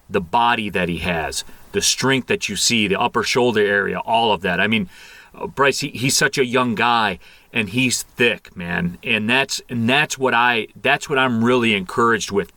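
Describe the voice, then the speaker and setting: A man giving animated, conversational sports analysis as a guest, repeating phrases for emphasis. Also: mid-range voice, no room sound.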